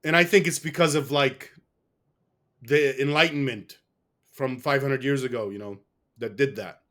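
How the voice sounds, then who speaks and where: A man's voice: mid-range voice, moderate pace, a bit bright. A man being interviewed, telling a long personal story in a rambling, casual way. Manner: rambling, with restarts and fillers. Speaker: guest. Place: West.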